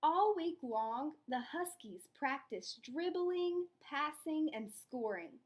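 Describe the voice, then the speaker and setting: A woman with a fairly high voice reads to children as an elementary school teacher. She goes very slowly, moving between storybook narration and step-by-step explanation. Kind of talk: children's read-aloud with lesson.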